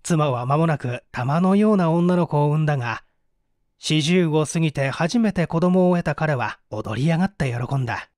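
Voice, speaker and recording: Male audiobook narrator, higher-set voice, very animated intonation, dramatic mystery reading. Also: moderate pace, no echo, clean studio sound. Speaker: audiobook narrator, stage actor.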